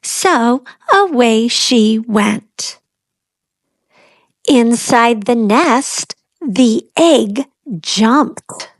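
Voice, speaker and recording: A high-pitched woman narrates a storybook for young children. She takes it very slowly and deliberately, keeping phrases simple and speaking directly to her little listeners as their read-aloud host. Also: animated.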